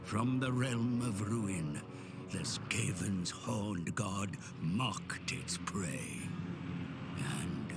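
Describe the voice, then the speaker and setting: Slow, very deep, gravelly dark fantasy narrator. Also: male voice. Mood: ominous. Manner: slow, theatrical, portentous. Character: grim, ominous male narrator of dark fantasy chronicles. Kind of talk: dramatic scripted game cutscene narration. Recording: loud music under the voice.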